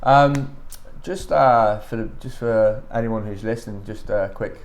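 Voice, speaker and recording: A man hosting a podcast, asking casual questions in a halting, hesitant way with many fillers. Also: on the low side. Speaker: podcast host.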